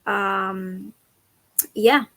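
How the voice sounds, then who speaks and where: A woman's voice: medium pitch, fairly even intonation, very slow, fairly bright tone, no room sound, rough recording. A young woman from Israel, an activist and educator, speaking earnestly as an interview guest and searching for her words. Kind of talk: conversational interview.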